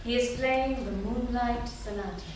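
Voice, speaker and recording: A woman's voice: fairly high pitch, fairly even intonation, normal speed. A woman narrating scripted stage directions at a staged reading. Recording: echoey theatre, distant mic, loud noise.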